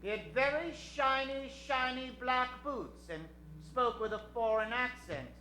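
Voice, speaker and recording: A woman's voice: high voice, unhurried, sharp tone, a little hiss or hum. A woman narrator telling an improvised story in old-time radio style. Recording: roomy, faint music behind.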